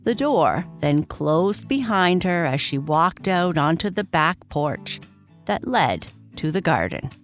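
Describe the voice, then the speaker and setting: A woman's voice: fairly low pitch, big pitch swings, slow pace, soft background music. A woman reading a scripted bedtime story for children as its narrator.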